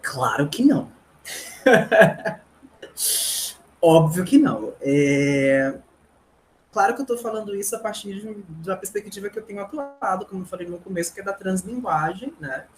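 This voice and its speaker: A woman's voice: mid-range voice, lively intonation, moderate pace, long pauses, slight background noise. A middle-aged woman with a Brazilian Portuguese accent, a language teacher answering interview questions earnestly, with frequent tag questions and small restarts. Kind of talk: explanatory interview talk.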